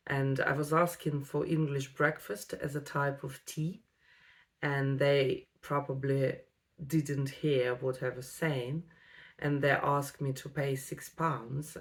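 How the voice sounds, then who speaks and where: A woman, an English speaker, telling a personal story to camera with many ums and pauses. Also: low-pitched. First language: Russian. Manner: unhurried, many ums and pauses, non-native phrasing. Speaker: vlogger.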